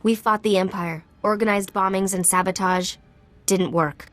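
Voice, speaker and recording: A woman's voice: mid-range voice, fairly even intonation, moderate pace, fairly bright tone, faint music behind, close to an everyday voice. A woman playing a frightened but earnest former rebel in a video game, hesitant and restarting her sentences. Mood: nervous, earnest.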